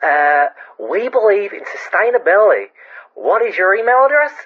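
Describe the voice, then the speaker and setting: A man's voice: sits high, big pitch swings, moderate pace, narrow, tinny audio. A man voicing a customer-service worker in a comedy sketch, with scripted corporate politeness. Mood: officious.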